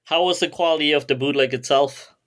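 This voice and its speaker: High-voiced man in casual conversation. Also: fairly quick, a bit bright. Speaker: podcast co-host. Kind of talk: casual chat with reminiscing.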